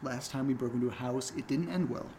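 A man plays a serious, exasperated investigator type in a horror audio drama. His voice is medium in pitch and he questions things earnestly. Some faint noise is audible behind him. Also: quick pace, dark tone, lightly acted. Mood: wary, questioning.

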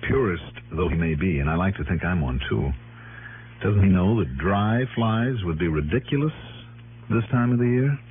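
An actor playing a confident, shrewd American male investigator in a 1960s radio drama, persuasive and quietly suspicious. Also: deep voice, lively intonation, normal speed, background noise. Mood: probing. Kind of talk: 1960s radio drama acting and first-person narration. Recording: narrowband vintage radio recording with music.

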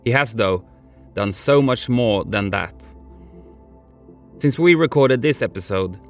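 A man's voice: medium pitch, animated, slow, soft background music. A man hosting a podcast, introducing the show and interviewing a guest. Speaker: podcast host.

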